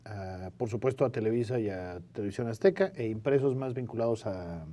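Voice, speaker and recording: A man's voice: lowish voice, expressive, a little fast, mellow. A man with a Mexican accent speaking as a guest in a spontaneous studio discussion, giving opinions and checking in with short tag questions. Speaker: interview guest, news editor.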